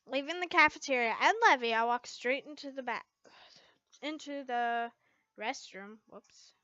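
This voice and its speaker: A woman giving an expressive amateur narration of fan fiction, stumbling over words and rereading as she goes. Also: sits high, a little slow, clear, resonant tone, no room sound, dull audio.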